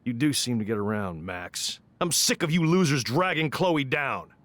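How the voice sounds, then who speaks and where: A man's voice: medium pitch, animated, moderate pace, a bit bright, no echo, lightly acted. An actor playing a stern, suspicious middle-aged ex-soldier stepfather in a video game, accusatory and authoritarian. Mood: threatening.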